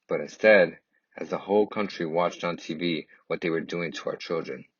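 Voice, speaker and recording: Man, very low pitch, raspy edge, earnest storybook narration, deliberate phrasing, slightly dull echoey recording. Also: fairly bright tone. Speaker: reader of a children's picture book. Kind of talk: expressive scripted storybook narration in first person.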